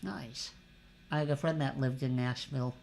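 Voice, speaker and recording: A man hosting a casual livestream interview show, telling stories in a relaxed, conversational way. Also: mid-range voice, normal speed, creaky, slight background noise. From California.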